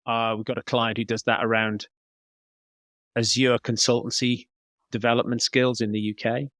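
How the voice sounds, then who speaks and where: A man's voice: mid-range voice, little pitch movement, normal speed, a bit bright, dry recording, studio quality. A man giving conversational interview answers as a podcast guest, explaining things as he thinks aloud. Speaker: podcast guest, business coach.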